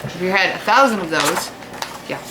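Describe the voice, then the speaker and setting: A woman's voice: fairly low pitch, animated, normal speed, background noise. An American woman teaching children in a read-aloud lesson, explaining informally and putting questions to her young listeners. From United States. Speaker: fifth-grade teacher.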